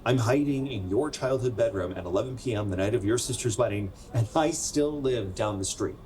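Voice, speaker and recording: A young man playing a wistful, self-conscious 27-year-old in a naturalistic radio drama, speaking in a halting, conversational way. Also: mid-range voice, darkish tone, slight background noise, natural voice. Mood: defensive, earnest. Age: young adult.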